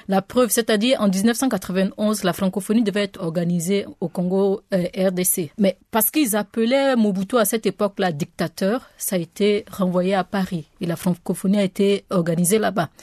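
A woman's voice: mid-range voice, a little mellow, dry recording, studio quality. A woman whose first language is an African language, speaking as a panel guest and arguing critically and assertively. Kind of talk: debate argument.

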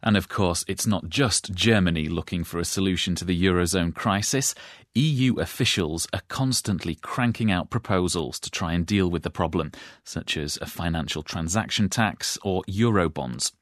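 Male anchor, very deep, dry studio read. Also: normal speed, no room sound. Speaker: news anchor. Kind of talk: scripted news presenting.

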